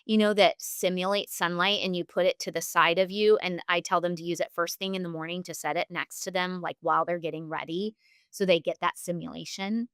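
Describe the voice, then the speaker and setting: A woman with a fairly low, smooth and clear voice gives earnest explanations as a guest practitioner in an interview. She is instructive and talks at length, and the sound is close and dry. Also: fairly even intonation, fairly quick, fairly bright tone, dry recording. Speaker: functional health practitioner, podcast guest.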